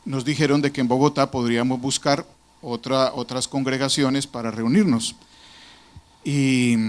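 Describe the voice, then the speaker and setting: Earnest man telling his story. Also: medium pitch. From Colombia. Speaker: church member giving a testimony. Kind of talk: personal testimony in church.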